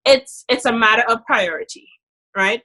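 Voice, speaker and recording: A woman's voice: on the high side, normal speed, over a noisy crowd. A woman with a Trinidadian accent, a podcast guest talking in a casual, emphatic way, often adding "you know". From Trinidad and Tobago. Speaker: podcast guest, dentist and author. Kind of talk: casual interview chat.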